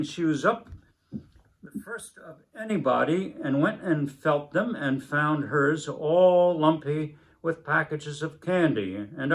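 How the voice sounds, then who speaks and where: An older man in his seventies reading a story aloud in a halting manner, pausing between phrases. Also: a little high, rough recording. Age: older, about 70s. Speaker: story reader. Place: indoors, book-lined room at a table.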